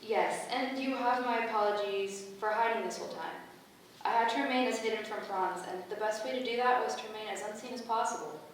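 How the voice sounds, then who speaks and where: A woman playing a calm, motherly, goddess-like being with a stately, formal way of speaking, in a staged play reading. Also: on the high side, a little fast, close to an everyday voice. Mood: tender, stately. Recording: echoey room, distant mic, background noise.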